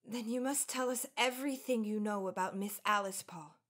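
A woman's voice: mid-range voice, normal speed, a bit bright, close to an everyday voice. A woman playing an eager, anxious young sorority suffragette in a radio drama, earnest and questioning. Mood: eager, surprised.

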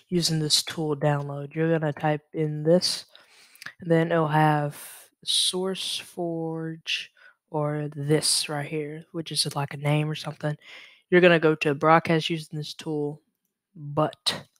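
A young man presenting a software tutorial in a casual, halting, informal way, thinking aloud as he goes. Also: fairly high pitch, no room sound.

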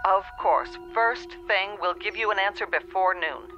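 A woman's voice: medium pitch, unhurried, music in the background. An actress playing a placating, businesslike female phone company clerk in a radio drama, heard through a telephone filter. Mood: reassuring. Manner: reassuring, businesslike. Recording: muffled telephone-line sound.

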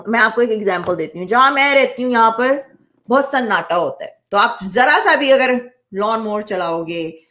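A woman's voice: fairly high pitch, expressive, normal speed, pushed and bright. A woman, giving blunt, emphatic, opinionated commentary to camera in a casual way, mixing two languages. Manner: emphatic, blunt, coarse-spoken. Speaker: vlogger. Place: small home office indoors.

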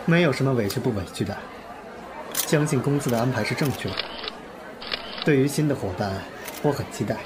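A man's voice: mid-range voice, fairly quick, long pauses, noisy background, lightly acted. A man playing a proud, vain young pop star with a haughty manner in an audio drama. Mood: smooth, diplomatic. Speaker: voice actor in fan audio drama. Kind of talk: radio drama acting. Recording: music under the voice.

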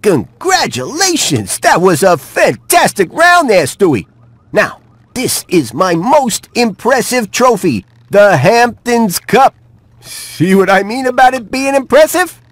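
A man's voice: high voice, sing-song, slow pace, no echo, heavily acted. A man voicing a jolly, boastful golfing mouse in a video game, with a hearty, showy manner. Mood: proud. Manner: showy, self-satisfied, hearty. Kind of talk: video game cutscene acting.

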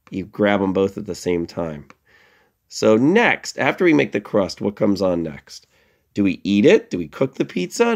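Gravelly-edged man teaching young children. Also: on the low side. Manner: playful, expressive, asks questions and answers them. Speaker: elementary school teacher giving a library lesson. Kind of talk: instructional lesson for young children.

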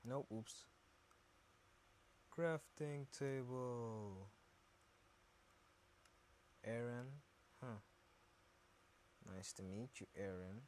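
A man with a deep voice hosts a gaming video in casual commentary. He speaks very slowly, repeating words as if muttering to himself. A faint noise sits behind him. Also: darkish tone.

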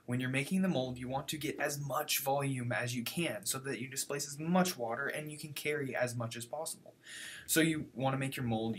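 A man's voice: mid-range voice, fairly bright tone. A young male student casually explaining a building tip to his webcam in a home office. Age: young adult. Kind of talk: explaining to camera.

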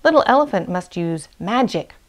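A woman's voice: medium pitch, lively intonation, moderate pace, close to an everyday voice. A woman voicing an innocent little male bear cub in a storybook, full of wonder and questions. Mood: admiring.

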